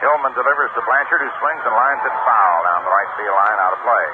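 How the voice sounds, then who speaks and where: An American man calling baseball play-by-play on 1960s radio in short, clipped phrases with pauses between pitches. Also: fairly even intonation. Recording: noisy vintage AM radio broadcast, narrow tinny band.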